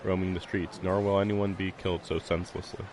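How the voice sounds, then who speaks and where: A man's voice: low-pitched, flat delivery, fast, noisy background. A man reading a visual novel aloud as a streamer, dropping in casual, wry asides. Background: music.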